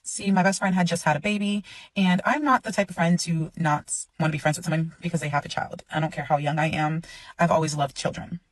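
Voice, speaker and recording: A woman's voice: low voice, fairly even intonation, fast talker, no echo, far from the mic, rough recording. A woman in her twenties telling a personal story in a casual, chatty way, with digressions and explanations. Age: 20s.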